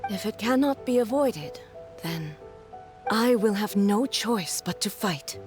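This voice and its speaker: A woman playing a solemn, dignified female ruler in a video game, speaking in a stately, formal, deliberate way. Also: mid-range voice, animated, soft background music. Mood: grave.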